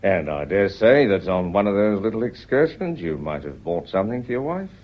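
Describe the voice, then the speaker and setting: An actor playing a courteous but relentless police inspector in a radio play, questioning in a deliberate, insistent way. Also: male voice, fairly low pitch, big pitch swings, highs cut off. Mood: shrewd, insistent.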